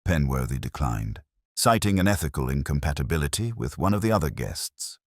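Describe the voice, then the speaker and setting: A man's voice: rumbling low, very animated, a little fast, dry recording, studio quality, heavily acted. A man voicing a businesslike police investigator in a mystery story, reporting in a matter-of-fact way.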